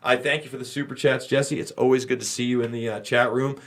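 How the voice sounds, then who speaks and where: A man's voice: medium pitch, fairly even intonation, fast talker. An American man doing off-the-cuff livestream political commentary, talking to viewers in an opinionated, exasperated, emphatic manner.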